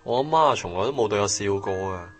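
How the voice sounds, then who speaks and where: A man's voice: fairly low pitch, expressive, quick pace, soft background music. A man acting a proud, jealous young office worker in a Cantonese radio drama, with a Hong Kong accent and a grumbling, boastful way of talking. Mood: bitter, sulky.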